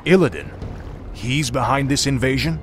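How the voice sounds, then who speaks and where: A man's voice: mid-range voice, animated, moderate pace, noisy background, natural voice. A man playing a grim, weakened death knight in a fantasy video game, terse and brooding. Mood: alarmed. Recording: game music behind.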